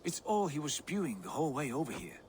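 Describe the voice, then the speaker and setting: A decisive, commanding Viking leader in a game drama. His fairly high voice is measured and serious, with faint outdoor noise behind it. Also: very animated, a little mellow. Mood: composed. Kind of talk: dramatic video game acting.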